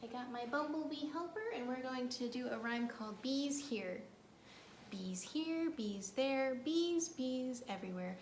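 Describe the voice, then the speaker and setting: A woman with a fairly high voice leads storytime for young children. She is playful and sing-song, reciting rhymes and talking straight to the kids. The room is clearly echoey, with faint noise behind her. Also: measured pace, a little mellow. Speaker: children's librarian leading storytime.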